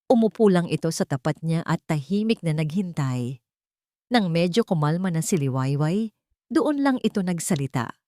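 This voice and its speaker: Female Filipino story narrator, dramatic scripted read, slightly low register, close dry sound. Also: a little mellow, no room sound.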